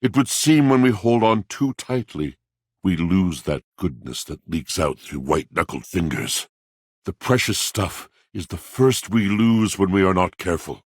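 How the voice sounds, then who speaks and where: A very low, rough-edged male game character, a lovelorn lover of poetry. He speaks in grand, florid swells with theatrical pauses. The sound is close and dry. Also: big pitch swings, no echo, full character voice. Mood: musing, melancholy. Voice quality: deep, gravelly. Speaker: voice actor in a video game.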